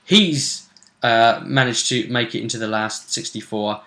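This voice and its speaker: A man co-hosting a casual recap of a tabletop role-playing game, telling it haltingly with dramatic emphasis. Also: medium pitch, pushed and bright.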